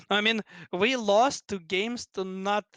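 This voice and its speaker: Young Russian-accented male guest, a touch high, halting casual speech. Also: fairly even intonation, fairly bright tone, no room sound. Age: 20s. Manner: halting, many restarts and fillers. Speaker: guest, pro gamer. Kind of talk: casual interview chat.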